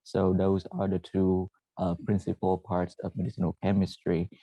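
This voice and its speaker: Low gravelly man, halting. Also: monotone, no echo, dull audio. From Vietnam. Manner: halting, frequent "uh" and self-corrections. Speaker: former PhD student presenting. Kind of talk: presentation to students.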